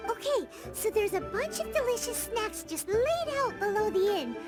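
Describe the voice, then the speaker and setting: Squeaky, chirpy childlike fairy companion. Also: female voice, normal speed, loud music behind the voice. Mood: eager. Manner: excitable, refers to self in third person. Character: chirpy childlike floating fairy companion, eager food lover. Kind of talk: video game voice acting.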